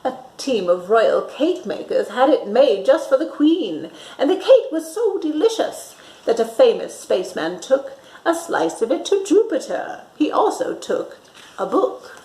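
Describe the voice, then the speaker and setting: A woman reading a picture book aloud to young children in a bright, sing-song storytime way, doing little voices for the characters. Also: high-pitched, big pitch swings, measured pace. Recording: echoey room.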